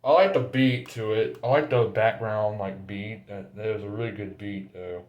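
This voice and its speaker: A man's voice: fairly low pitch, moderate pace, lo-fi sound. Man chatting casually in an echoey bathroom. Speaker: vlogger. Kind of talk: casual joking chat with bits of singing. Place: small bathroom indoors. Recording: roomy echoey bathroom, music behind.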